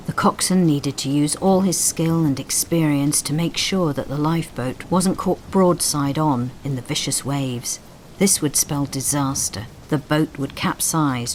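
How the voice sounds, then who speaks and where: A woman reading a scripted story in an expressive, suspenseful, dramatic storytelling style. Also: sits low, slight background noise. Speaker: story narrator. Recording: storm sound effects behind voice. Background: rain, thunder and storm effects.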